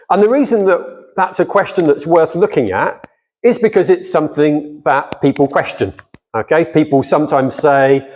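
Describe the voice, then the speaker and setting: A man's voice: a little high, darkish tone. A middle-aged man giving a guest talk on scripture to a church audience, speaking conversationally and putting questions to his listeners. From not from Cardiff.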